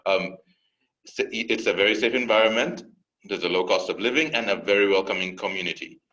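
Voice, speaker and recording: A young man from Uganda, an admissions counselor giving a relaxed, lightly joking webinar presentation with a few fillers. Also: sits low, poor audio.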